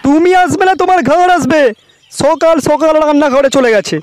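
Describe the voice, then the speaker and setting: A man voicing a quick-tempered village snack seller in a comedy cartoon. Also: dry recording. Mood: impatient calling. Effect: pitch-shifted cartoon voice.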